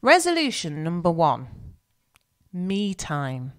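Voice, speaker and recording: A woman's voice: on the low side, wildly swooping pitch, slow pace. A woman with an English accent co-hosting a podcast, chatting in a rambling way, searching for words while she has a cold. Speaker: podcast co-host. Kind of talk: casual chat.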